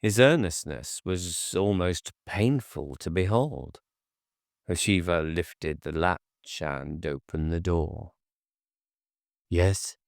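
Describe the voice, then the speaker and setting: A very deep male narrator reading a gothic tale slowly and deliberately. The sound is dry and close to the mic, with no room around it. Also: vocal fry, dry recording. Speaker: audiobook narrator. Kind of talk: scripted narration.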